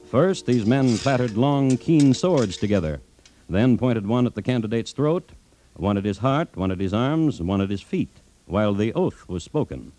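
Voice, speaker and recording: A man plays a macabre American raconteur on a 1950s radio crime series. His voice is lowish and mellow, moving widely in pitch as he narrates with deliberate, dry irony. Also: lively intonation, lightly acted, low-quality recording. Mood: grave. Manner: deliberate, wry, dramatic pauses. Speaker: host and narrator.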